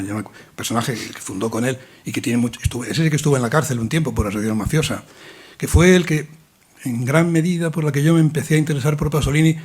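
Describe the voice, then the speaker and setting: A man's voice: medium pitch, darkish tone, breathy edge. A man speaking at a public colloquium, rambling through a long explanation with many restarts and fillers. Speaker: invited speaker. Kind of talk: lecture-style talk in a colloquium.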